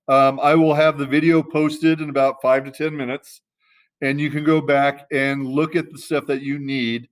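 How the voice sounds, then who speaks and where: A man teaching a class in a casual, conversational way, often pausing to search for the right word. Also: medium pitch, a bit bright. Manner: loose and conversational, searching for words mid-sentence.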